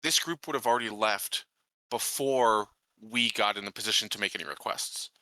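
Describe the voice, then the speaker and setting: A man's voice: on the low side, moderate pace, bright, forceful tone, no room sound, low-quality recording. A man playing a tabletop game, casually summing up and confirming details in a matter-of-fact way.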